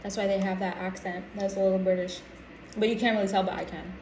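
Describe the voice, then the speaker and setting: An American woman chatting casually to camera as a vlogger, in a halting, reflective way that trails off mid-thought. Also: mid-range voice, flat delivery, a little mellow. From United States. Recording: roomy echo with background noise.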